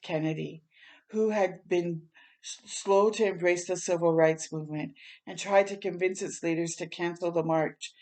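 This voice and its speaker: A woman's voice: fairly low pitch, a little mellow. A woman reading a picture book aloud for children as a storytime host, in a dramatic, heartfelt and emphatic manner.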